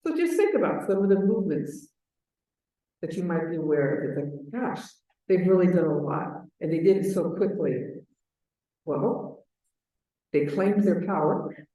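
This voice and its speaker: A woman from Chicago with a booming voice, giving an earnest conference talk on advocacy and repeating her key phrases for emphasis. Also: expressive, a little slow, pauses often, mellow. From Chicago, US Midwest. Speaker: advocacy program manager, conference speaker. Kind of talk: presentation to a conference audience. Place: large echoey conference room. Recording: very echoey hall, voice far from mic.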